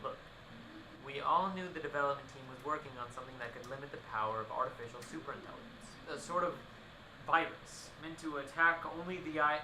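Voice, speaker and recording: A man's voice: fairly high pitch, natural voice. A man acting in a radio play as an exasperated, condescending witness to a tech disaster. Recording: echoey, clearly noisy location recording.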